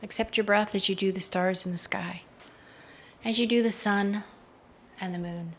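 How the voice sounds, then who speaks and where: A woman leading a slow guided meditation in a measured, hushed voice that often softens into breathiness, recorded outdoors. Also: medium pitch, steady tone, a little hiss or hum. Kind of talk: slow, soothing guided meditation with hypnotic induction. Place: outdoors in a garden. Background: faint outdoor garden ambience.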